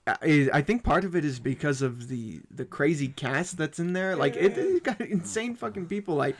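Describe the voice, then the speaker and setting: A man hosting a casual film discussion among friends, talking in an animated, informal way. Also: a little high, normal speed. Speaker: podcast host.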